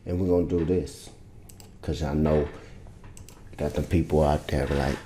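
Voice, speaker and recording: Male producer, bass-heavy voice, easygoing and rambling, roomy home-studio sound. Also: steady tone, unhurried, dark tone, slight background noise. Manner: laid-back, rambling, loose and informal. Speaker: music producer teaching vocal mixing. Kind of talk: casual screen-share tutorial. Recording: roomy echo.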